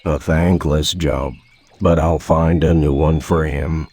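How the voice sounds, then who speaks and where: A man's voice: rumbling low, fairly even intonation, slow pace, mellow, no echo, exaggerated voice. A man voicing a gloomy, resigned old cartoon donkey in a glum drawl.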